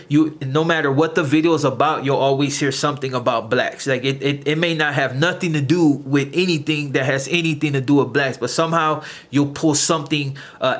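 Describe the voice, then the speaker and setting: A man's voice: mid-range voice, fairly bright tone. A man hosting his own channel, ranting straight to camera at his critics in a confrontational, lecturing way, often stumbling and repeating words as he searches for them. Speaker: channel host. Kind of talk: direct-to-camera rant.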